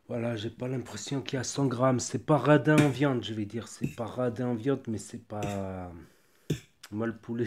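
A man with a cold reviewing a ready meal at home in a relaxed, chatty way, thinking aloud as he goes. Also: medium pitch, a little hiss or hum. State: has a cold. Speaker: food reviewer. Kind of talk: casual product tasting review. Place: home kitchen table.

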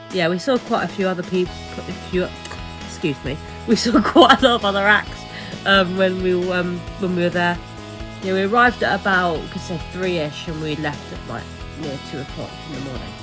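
A young woman narrating her vlog footage in a chatty, rambling way, full of fillers and restarts. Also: fairly low pitch. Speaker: vlogger. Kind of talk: casual voice-over narration.

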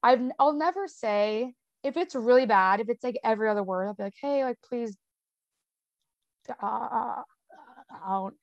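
A woman's voice: a little high, measured pace, dry recording, muffled recording. A young woman with a stutter, talking casually as an interview guest, with sound repetitions, blocks and lots of "um" and "like".

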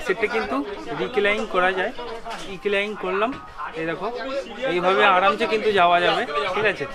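A man's voice: high voice, fairly even intonation, brisk. A man with an Indian Bengali accent talks casually to viewers as a travel vlogger, explaining things and often checking that they follow. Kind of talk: casual vlog narration to viewers. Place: busy railway station and train carriage. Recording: loud station crowd and train noise. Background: crowd chatter and railway noise.

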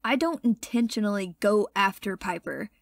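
A woman's voice: medium pitch, expressive, moderate pace, creaky, no room sound, studio quality. A woman playing a studious, blunt and guarded teenage schoolgirl in an audio drama. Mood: irritated.

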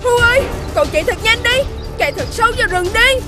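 A very high, childlike voice of a kind little boy in a cartoon folk tale. He speaks earnestly and expressively, with devoted tenderness, over prominent music. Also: expressive, very fast. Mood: desperate. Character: small boy, kind, pleading, devoted to a young deer. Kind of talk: animated folk tale dubbing. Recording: music under the voice.

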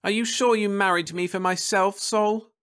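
A man voicing an excited, greedy young wife in a story reading, pitching his voice high and breathless. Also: normal speed. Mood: coaxing. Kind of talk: audiobook character voice.

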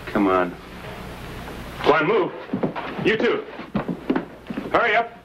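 A man's voice: fairly high pitch, wildly swooping pitch, slow pace, noisy background, full character voice. A man in his thirties with an American accent, playing a bold, forthright frontier fur buyer in a 1950s Western. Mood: commanding. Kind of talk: 1950s Western screen acting.

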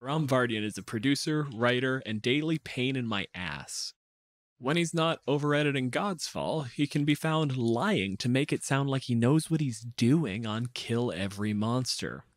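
Sardonic man hosting, mid-pitched, dry sound. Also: lively intonation, moderate pace, dry recording. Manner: sardonic, grumbling, exasperated. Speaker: podcast host. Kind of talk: casual podcast chat.

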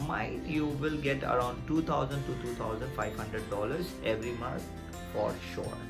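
A man's voice: medium pitch, fairly even intonation, normal speed, gravelly. Emphatic man over music. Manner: emphatic, repetitive, direct address to viewers. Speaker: video host giving career advice. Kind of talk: explainer vlog to camera. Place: small plain room indoors. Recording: music behind the voice.

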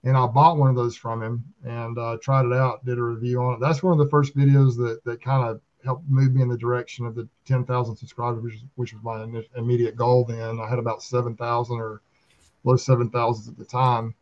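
A man's voice: mid-range voice, level tone, fairly quick. A man in his fifties with a Southern American accent, chatting casually and telling folksy stories on a video call. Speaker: beekeeper and video channel host. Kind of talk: casual chat. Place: small room at home, video call. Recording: slightly dull video-call audio.

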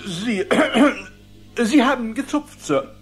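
An actor playing a stiff, deferential, politely persistent butler in a cartoon radio play, formal and hesitant. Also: male voice, very high-pitched, animated, takes it slowly, music in the background, exaggerated voice. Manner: formal, hesitant, throat-clearing.

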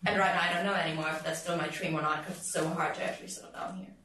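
Fast young woman, very echoey room. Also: deep voice, fairly even intonation. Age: young adult. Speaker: international student. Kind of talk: informal discussion. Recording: very echoey, distant, noisy room.

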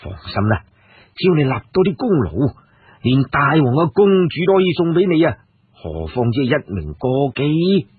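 Man acting a sly, husky steward. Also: on the high side, moderate pace, dry recording, narrow, tinny audio, heavily acted. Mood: secretive, reassuring. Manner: suggestive, confiding, flattering. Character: sly, chummy male steward of a rich ancient Chinese clan.

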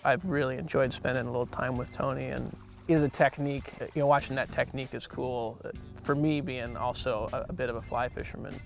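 A man's voice: mid-range voice, fairly even intonation, fairly quick, creaky, soft background music. A man, a fishing guide, talking casually in an interview outdoors on a river boat, often repeating words as he thinks.

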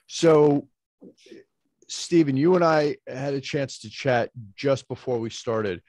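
A man chairing a public commission meeting over a video call, speaking in a measured, halting way with many fillers and restarts. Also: mid-range voice, a little mellow, no room sound.

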